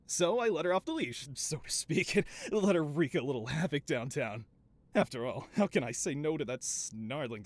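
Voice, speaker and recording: A man playing a flamboyant, doting mad scientist supervillain boss in a scripted audio roleplay, with a theatrical, wheedling, self-justifying manner. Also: medium pitch. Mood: doting, gleeful.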